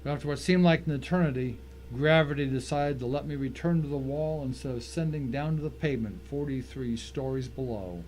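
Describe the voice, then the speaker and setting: A man's voice: mid-range voice, a little fast, a little mellow, poor audio. A man giving a dramatic first-person reading of a short story as its narrator. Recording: faint noise and ambience behind.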